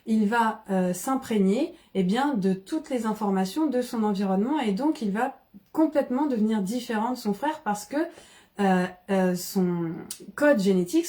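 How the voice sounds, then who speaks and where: A woman from the Paris region, explaining ideas in casual, animated conversation with frequent restarts. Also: medium pitch. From Paris region, France. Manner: animated, many restarts and fillers.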